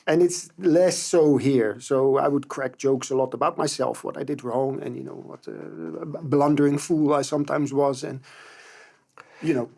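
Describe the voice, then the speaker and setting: A man with a mellow, dark-toned voice talks things through in a relaxed panel conversation. He speaks reflectively, pausing on fillers and restarting his sentences as he thinks. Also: medium pitch, gravelly.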